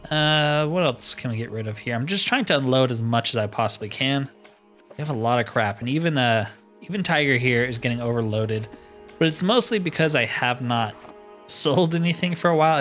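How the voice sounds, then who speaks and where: A man's voice: mid-range voice, moderate pace, a bit bright, soft background music. A man hosting a let's play with casual, laid-back, rambling commentary. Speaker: host of a gaming series.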